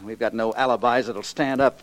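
An actor playing a nervous, pleading young office assistant, urgent and melodramatic, in a 1940s radio drama. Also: male voice, medium pitch, fairly even intonation, moderate pace, close to an everyday voice, poor audio. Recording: old 1940s radio recording.

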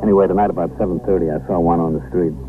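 Muffled old radio recording, male actor as American patrolman, very low voice, quick clipped delivery, modest, deferential. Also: steady tone, a little hiss or hum, lightly acted. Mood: matter-of-fact. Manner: clipped, plain-spoken, deferential. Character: modest young beat patrolman recounting his tip to a superior. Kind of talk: 1950s radio drama acting.

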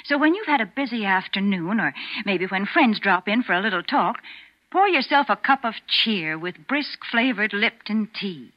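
A woman's voice: medium pitch, lively intonation, normal speed. A woman doing folksy, chatty advertising banter in 1940s radio style. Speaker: commercial spokeswoman. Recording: muffled old radio recording.

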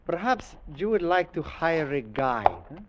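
An actor playing a sly Mexican horse trader with a Spanish accent and oily, insinuating politeness, in a 1940s radio drama. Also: male voice, a little high, very animated, measured pace, noisy background. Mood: ingratiating. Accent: Spanish-accented English, Mexican. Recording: muffled vintage radio recording.

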